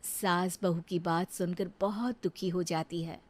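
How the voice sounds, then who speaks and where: A woman giving scripted storytelling narration for an animated moral story. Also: fairly low pitch, unhurried. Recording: music under the voice.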